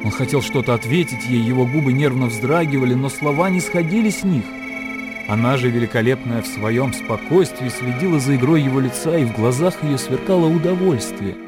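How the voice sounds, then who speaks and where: A man's voice: mid-range voice, a little fast, over music. A man reading scripted literary narration as the narrator of a radio drama.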